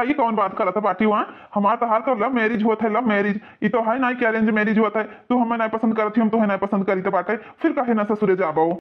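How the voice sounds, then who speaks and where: A man's voice: sits high, natural voice. A man voicing a young groom with an Awadhi accent, pushy and argumentative. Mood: indignant. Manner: indignant, argumentative. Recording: muffled lo-fi sound.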